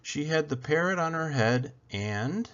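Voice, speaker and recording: A man reads a picture book aloud as a storyteller. His voice swings widely in pitch and his delivery is deliberate and expressive. The recording is dull and lo-fi. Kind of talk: children's picture book narration.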